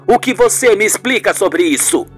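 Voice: medium pitch, animated, moderate pace, faint music behind, pitched above the performer's own voice, natural voice. A performer voicing a haughty, insistent older mother in a radio soap, with a melodramatic, scolding manner. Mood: indignant. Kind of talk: radio soap opera acting.

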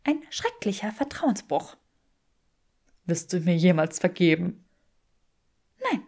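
A woman voicing an earnest, sharp-witted, bookish young woman in an audiobook, prim and mock-serious. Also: fairly low pitch, big pitch swings, slow pace, exaggerated voice. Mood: prim teasing.

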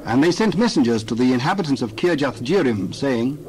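A man giving a dramatized Bible reading in a steady, formal way. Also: mid-range voice, fairly quick, slight background noise, rough recording. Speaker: Bible reader.

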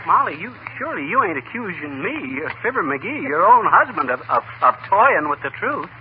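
An actor playing a boastful, blustering American husband, pompous and folksy, in 1940s radio comedy style. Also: male voice, high-pitched, sing-song, fairly quick. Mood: indignant. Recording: tinny, noisy 1940 radio broadcast recording.

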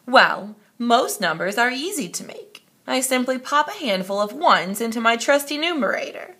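A woman voicing an excitable male count from a storybook, drawing out his exclamations. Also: a little high, normal speed, slightly rough, pitched above the performer's own voice, heavily acted. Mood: boastful. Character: excitable old male count of numbers, inventor.

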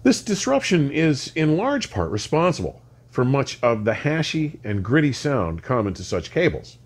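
An older man presenting a scripted technical explanation in measured, deliberate, emphatic phrases with precise wording. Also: medium pitch, sing-song. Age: older, around 60. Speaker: host of an audio explainer series. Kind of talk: scripted educational explanation to camera. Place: furnished home listening room, indoors.

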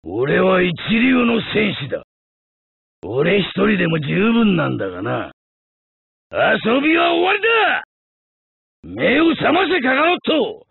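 A man's voice: high voice, gravelly, breathy edge, dry recording, phone-quality sound, exaggerated voice. An actor playing an arrogant, brutal male alien warrior in an anime fighting game, sneering out taunts and combat grunts. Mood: cold, haughty.

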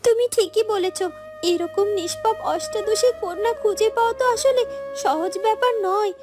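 A woman's voice: very high-pitched, moderate pace, music in the background. A woman voicing an innocent, kind-hearted teenage girl in a cartoon fairy tale, speaking in an earnest, plaintive way. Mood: gentle musing. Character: innocent, kind-hearted eighteen-year-old girl, sorrowful and tender.

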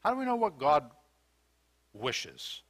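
A man's voice: fairly high pitch, huge pitch swings, takes it slowly, fairly bright tone. An older American man preaching a sermon in a church, earnest and questioning, with hesitant fillers and restarts. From United States. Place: church sanctuary, indoors.

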